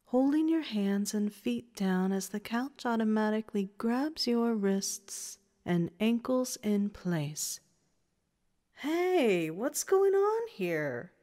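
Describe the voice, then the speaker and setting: A woman narrating a scripted story in a soft, breathy way that lapses into whispers. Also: mid-range voice, measured pace. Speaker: narrator and voice performer. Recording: reverberant hall-like echo.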